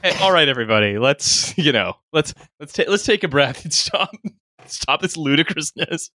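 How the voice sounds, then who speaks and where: Animated, halting male podcast host. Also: on the high side, noisy background. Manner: rambling, halting, lots of fillers and laughs. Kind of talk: casual comedic chat.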